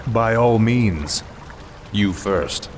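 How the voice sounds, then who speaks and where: A man's voice: fairly low pitch, very slow, a little hiss or hum. An actor playing an arrogant, ruthless male death knight who speaks with impatient sarcasm. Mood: cold. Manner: impatient, sardonic. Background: music.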